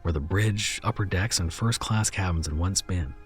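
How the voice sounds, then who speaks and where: A man narrating a first-person horror story in a measured, somber way. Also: sits low, soft background music.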